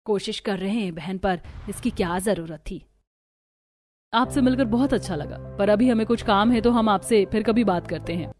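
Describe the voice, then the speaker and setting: A woman voicing the family characters of a cartoon story with expressive household dialogue. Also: mid-range voice, moderate pace. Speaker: voice actor for several characters. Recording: voice over clear background music.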